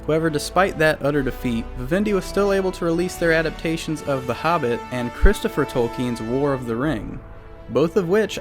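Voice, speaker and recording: A man narrates a scripted retrospective as the host of a video series. His delivery is wry, with casual jokes slipped into the explanation. A music bed runs lightly behind his mid-pitched voice. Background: background music.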